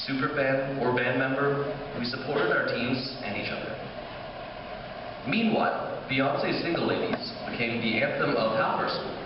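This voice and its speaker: A young man, a high school class officer, reading a scripted speech aloud at a school ceremony. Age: young adult. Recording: distant echoey hall, muffled, noisy.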